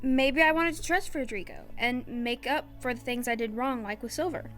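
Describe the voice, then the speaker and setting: A woman playing a self-doubting, battle-worn young woman in an audio drama, with a halting, confessional manner. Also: a little high, fairly bright tone, soft background music, natural voice. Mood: wounded, confessional.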